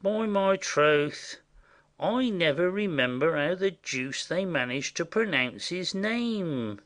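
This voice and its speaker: A man playing a simple, indiscreet rustic messenger in a classic stage comedy, chatty and confiding in a secretive, halting way. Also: a little high, lively intonation, fairly bright tone. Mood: chatty, pleased.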